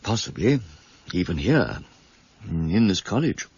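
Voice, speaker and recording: An actor playing a courtly, pompous older Oxford don with a husky, gravelly voice in a radio drama. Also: male voice, fairly low pitch, big pitch swings, measured pace, darkish tone, no room sound, exaggerated voice. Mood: smooth, flattering. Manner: pompous, literary, hesitant when uneasy. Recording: dull, slightly muffled radio recording.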